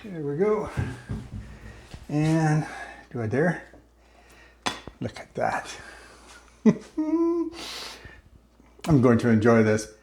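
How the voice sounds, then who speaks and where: A man hosting a home cooking video, chatty and relaxed, talking through each step of a simple recipe. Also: a little high, drawn-out words, pauses often, noisy background. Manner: relaxed and chatty, slips into a joking mock-Italian accent. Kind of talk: casual cooking demonstration.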